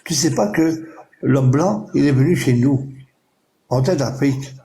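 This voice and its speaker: A man's voice: medium pitch, warm tone. Insistent man repeating himself. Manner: repeats phrases, slow and insistent. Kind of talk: casual group voice chat, repetitive rhetorical questions.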